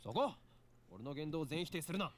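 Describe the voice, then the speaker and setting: Pompous young anime scientist, higher-pitched, extremely fast, imperious, visual novel style. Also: male voice, very animated, dry recording. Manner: bossy, theatrical. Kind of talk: Japanese visual novel voice acting.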